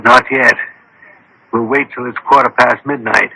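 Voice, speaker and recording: An actor playing a dry, unflappable detective in a radio drama, speaking in a measured, politely authoritative way. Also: male voice, mid-range voice, steady tone, creaky, bright, forceful tone, husky, no echo, full character voice, rough recording. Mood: calm, dry. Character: dry, unflappable male detective directing a trap.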